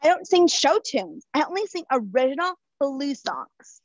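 A woman's voice: high-pitched, big pitch swings, normal speed. A woman playing a dramatic, self-absorbed young singer. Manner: dramatic, whiny, breaks into wailing. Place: indoors by a brick wall. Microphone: headphones, webcam. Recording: dull lo-fi webcam sound.

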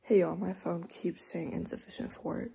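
A teenage girl filming a casual vlog on her phone, talking to viewers in a hushed morning voice and later out in the snow. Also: fairly low pitch, moderate pace, vocal fry, slight background noise. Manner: whispery early-morning talk, bubbly and excited outdoors. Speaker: vlogger. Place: at home, then outdoors in snow. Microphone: handheld phone.

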